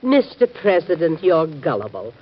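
An actress playing the sharp, teasing wife of a president in an old-time radio drama, speaking formally and with dry reproach. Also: female voice, sits low, very animated, normal speed, breathy edge, exaggerated voice. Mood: skeptical. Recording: muffled vintage radio drama recording.

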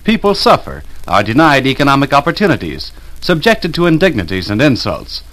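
Animated, earnest American radio announcer, man. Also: medium pitch, brisk. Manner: earnest, oratorical. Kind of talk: 1940s radio public-service announcement, scripted.

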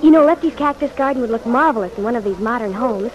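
A woman plays a perky young wife in a vintage radio serial, her voice high and playful. She has a teasing, spirited way of speaking, over the noise of an old broadcast recording. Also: animated, fast talker, natural voice, poor audio. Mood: enthusiastic.

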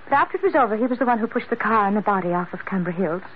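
A woman's voice: mid-range voice, expressive, racing, natural voice. An actress playing an anxious, pleading young woman who speaks earnestly and dramatically, in old-time radio acting style. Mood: distressed, confessing. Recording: tinny, noisy old radio recording.

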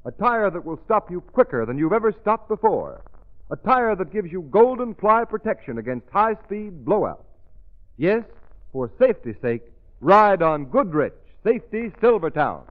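A man's voice: sits high, sing-song, moderate pace. A man delivering an old-time radio commercial as an announcer. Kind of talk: 1940s radio advertising pitch. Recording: tinny old radio recording.

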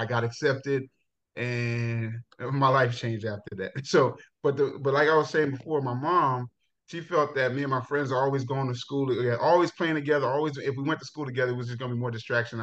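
A man's voice: medium pitch, fairly quick. Jamaican-born man telling stories, lo-fi sound. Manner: relaxed storytelling, frequent laughs and restarts. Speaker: guest, high school football coach. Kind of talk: casual interview. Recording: dull lo-fi sound.

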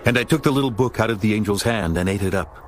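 Low-voiced solemn male scripture narrator. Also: quick pace, slight background noise. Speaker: audiobook narrator. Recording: music behind the narration.

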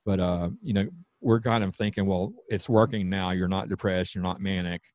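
A man with a Southern accent, a podcast guest speaking in casual conversation with slightly slurred, halting speech. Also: sits low, fairly even intonation, darkish tone, no room sound.

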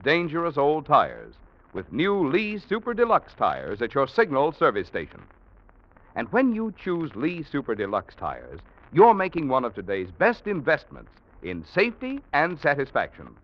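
An American man as a 1940s radio announcer, reading a scripted sponsor message with polished, emphatic salesmanship. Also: mid-range voice. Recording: dull old radio recording.

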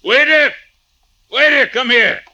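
A very sharp-voiced swaggering blackmailer. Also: male voice, very high-pitched, slow pace, no echo, dull audio, full character voice. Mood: commanding. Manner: swaggering, emphatic. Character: boastful, domineering Russian servant turned blackmailer, drinking vodka. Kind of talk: classic radio drama acting.

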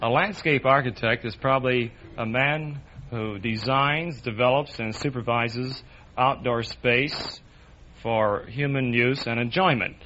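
A man's voice: medium pitch, unhurried, sharp tone. A man aged thirty answering questions unscripted as a contestant on an old-time radio quiz. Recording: dull vintage radio, faint noise.

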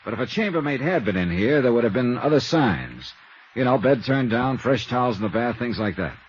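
A man playing a brisk, hard-boiled American insurance investigator in 1950s radio style, clipped and wry as he narrates and talks. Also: mid-range voice, sing-song, slight background noise, close to an everyday voice. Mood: matter-of-fact. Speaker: lead actor and narrator of a radio detective series. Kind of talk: 1950s radio acting, scripted first-person narration. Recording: muffled vintage radio broadcast with music.

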